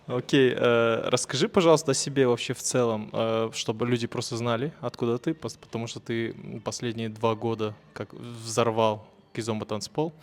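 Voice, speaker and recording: A man's voice: medium pitch, little pitch movement, fairly quick, hardly any pauses. A man hosting a podcast, introducing his guest in an upbeat way with small hesitations. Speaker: podcast host. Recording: long hall reverb.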